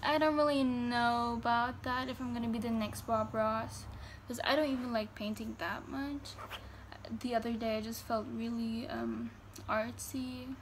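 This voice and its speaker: Young woman chatting slowly into her phone. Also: a little high, steady tone, a little hiss or hum. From Germany. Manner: chatty, filler-heavy, reads questions slowly. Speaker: vlogger. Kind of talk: casual Q&A chat. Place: bedroom indoors. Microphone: phone camera at arm's length.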